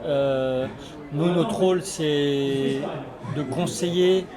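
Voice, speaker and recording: A man explaining his association's work in an interview, halting often as he searches for words. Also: on the high side. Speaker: energy renovation association representative. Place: community associations forum in a sports hall. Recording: loud crowd hubbub at a fair. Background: loud crowd.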